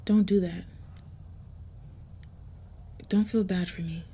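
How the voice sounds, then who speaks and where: A woman playing a guarded, gentle, possessive vampire in an intimate roleplay audio, soft and hushed, with a hesitant, measured delivery. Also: on the low side, steady tone, heavily acted. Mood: reluctant, tender. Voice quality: soft, husky, breathy. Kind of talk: intimate roleplay audio with first-person narration.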